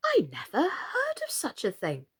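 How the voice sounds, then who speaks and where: A woman's voice: sits high, wildly swooping pitch, a little slow, a bit bright, husky, voice pushed up, full character voice. A woman voicing a polite, sensible, slightly timid young storybook girl in a civil, earnest way. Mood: puzzled.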